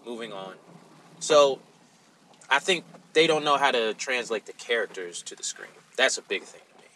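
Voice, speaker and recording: A man's voice: medium pitch, animated, moderate pace, pushed and bright, a little hiss or hum, rough recording. A man co-hosting a podcast, chatting casually and exclaiming often. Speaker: podcast co-host. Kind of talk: casual chat.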